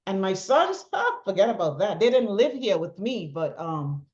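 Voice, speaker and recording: A woman's voice: mid-range voice, sing-song, moderate pace, darkish tone, lo-fi sound. A middle-aged woman speaking as an interview guest, giving personal testimony in a talkative, emphatic way and repeating phrases for stress. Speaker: interview guest, bereaved mother.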